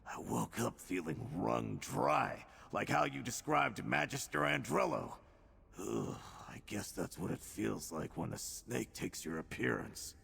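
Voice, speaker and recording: Gravelly, husky game brawler. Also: male voice, on the low side, animated, measured pace, a bit bright, in a large hall, full character voice. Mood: dejected, shaken. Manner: informal, calls listener pal. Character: gruff, weary male fighter friend, self-blaming but wry.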